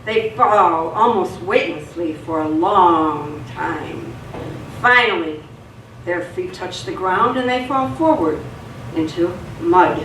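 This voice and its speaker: A woman's voice: on the low side, slow. A woman reading scripted narration for a live radio theatre drama. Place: stage performance in a roomy hall. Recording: echoey hall, distant mic, noisy.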